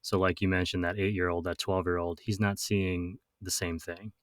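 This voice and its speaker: Male interviewer from Wisconsin, very deep and even voice, reflective, dry studio sound. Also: normal speed, no room sound. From Wisconsin, USA. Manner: reflective, draws on his own experience. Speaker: podcast host. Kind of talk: interview questions.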